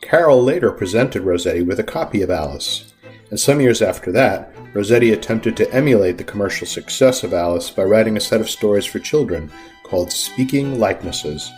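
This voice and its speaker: A man hosts with a scripted, introductory narration. His voice is fairly low, and faint violin music plays behind him. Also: expressive, fairly quick, a little mellow. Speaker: podcast host.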